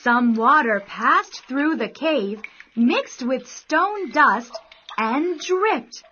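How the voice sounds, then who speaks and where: A woman narrating a scripted children's bedtime story in deliberate, careful phrases. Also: sits high, lively intonation, slight background noise. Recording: dull sound, soft music behind.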